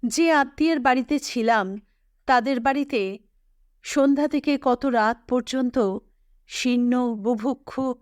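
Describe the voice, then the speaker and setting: A woman narrating a literary audio story in a measured, expressive storytelling manner. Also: high-pitched, clean tone, no room sound, clean studio sound.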